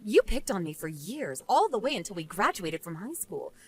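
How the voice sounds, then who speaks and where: A woman's voice: medium pitch, big pitch swings, moderate pace, a little mellow, husky. A woman playing a smug, vengeful wife and former bullying victim in scripted story dialogue, taunting and sarcastic. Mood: gloating.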